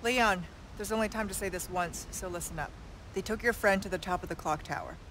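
A woman's voice: mid-range voice, brisk, a bit bright, a little hiss or hum, lightly acted, low-quality recording. Cool, controlled actress as a female secret agent. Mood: brisk, wry. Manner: wry, controlled. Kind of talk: video game cutscene acting. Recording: game ambience behind the voice.

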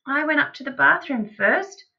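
A woman's voice: high-pitched, expressive, moderate pace, creaky, dull audio, pitched above the performer's own voice. A woman voicing an earnest, doubtful little boy in a children's storybook, questioning and pleading to be heard. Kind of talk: dramatised children's storybook reading.

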